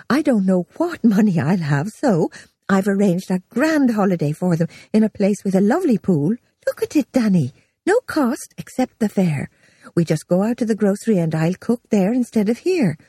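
A woman voicing a calm, determined wife who speaks in a steady, firm manner. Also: medium pitch, breathy edge, dry recording, studio quality, pitched above the performer's own voice.

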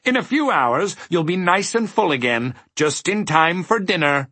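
A man's voice: fairly high pitch, big pitch swings, moderate pace, sharp tone, breathy edge, no room sound, voice pushed up, heavily acted. A man voicing a cheerful, pleased many-faced dodecahedron creature in a storybook, explaining things with sing-song emphasis. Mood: soothing.

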